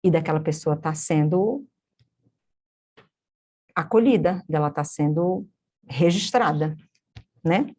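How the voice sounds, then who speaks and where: A woman's voice: low-pitched, takes it slowly, long pauses, mellow. A woman with a Brazilian Portuguese accent, an instructor teaching an online course, explaining step by step, often checking in with tag questions and correcting herself mid-sentence.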